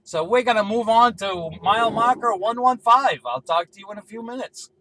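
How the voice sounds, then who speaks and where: Guy doing cb radio check-ins, high sharp voice, bit of car noise. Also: normal speed, low-quality recording. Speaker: CB radio hobbyist running a range test. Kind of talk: casual radio calls.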